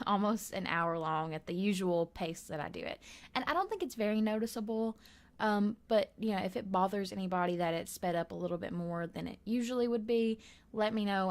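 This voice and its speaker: A hoarse woman giving a casual, rambling voiceover for a building video from her bedroom at home, with lots of fillers and soft laughs. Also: lowish voice. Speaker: gaming video creator.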